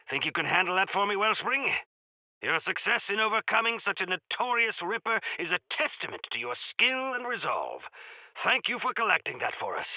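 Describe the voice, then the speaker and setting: A pompous yet genial game quest-giver, with a piercingly bright, forceful voice that swoops dramatically from phrase to phrase. He speaks in florid, courtly flourishes with dry asides, and the sound is close and dry. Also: fairly high pitch, dry recording, exaggerated voice, rough recording. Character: genial pompous male quest-giver of a mountain order, theatrically grateful. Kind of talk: theatrical video game character acting.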